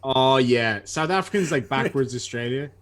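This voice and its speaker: A man's voice: mid-range voice, normal speed, a bit bright. Chatty southern Irish man. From Ireland. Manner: chatty, self-deprecating, stammers on restarts. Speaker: guest. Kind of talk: casual chat. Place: lives in Australia.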